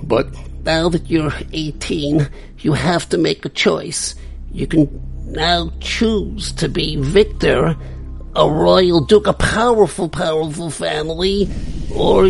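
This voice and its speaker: A humble father and former servant, played by an actor, speaks slowly and haltingly. His voice is rough and creaky. He pleads like a worried man in a radio drama. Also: mid-range voice.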